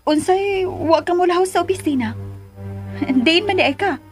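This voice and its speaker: A woman's voice: extremely high pitch, lively intonation, normal speed, faint music behind. A woman playing a jealous, sarcastic lover who taunts emphatically in a Filipino radio drama. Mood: surprised, probing.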